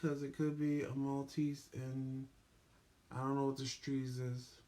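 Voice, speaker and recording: A man's voice: mid-range voice, flat delivery, unhurried, mellow. A man in his fifties co-hosting a casual talk show, telling stories playfully and animatedly. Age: 50s. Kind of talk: casual banter, storytelling.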